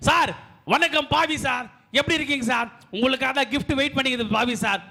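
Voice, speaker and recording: A man's voice: extremely high pitch, a little fast, gravelly, bright, forceful tone, full character voice. A man voicing a cheeky parcel delivery man in a live puppet show, teasing and pushy.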